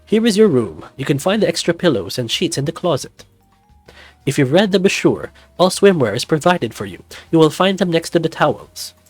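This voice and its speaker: A man's voice: on the high side, big pitch swings, moderate pace, no echo, faint music behind, pitched above the performer's own voice. A man playing a flirty, theatrical snow leopard host. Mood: hospitable. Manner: theatrical, flirtatious, calls people darling. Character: flirtatious middle-aged male snow leopard resort owner. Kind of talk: visual novel voice acting.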